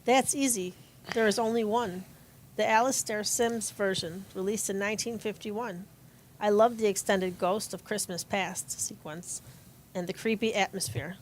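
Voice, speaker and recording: A woman's voice: medium pitch, fairly even intonation, moderate pace, lightly acted, low-quality recording. A woman acting a dry, easygoing teacher and aunt in an amateur audio play, with faint room noise.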